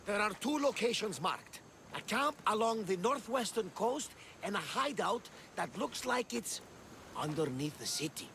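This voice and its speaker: A man's voice: high-pitched, sing-song, a little slow, background noise, heavily acted. A man playing a talkative, genial Greek ship captain who relishes telling tales, in a video game cutscene. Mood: grim.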